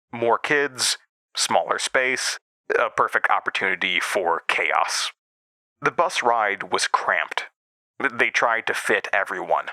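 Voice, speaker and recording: A man's voice: on the low side, sharp tone, no room sound. An actor playing a man who recalls his boyhood in a horror drama, telling it in a wry, conversational way. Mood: wry recollection. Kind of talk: scripted first-person horror drama narration.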